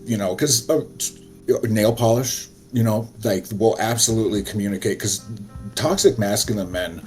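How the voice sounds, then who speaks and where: Gentle man with a very low voice. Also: normal speed, faint music behind, poor audio. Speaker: guest. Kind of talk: casual conversation.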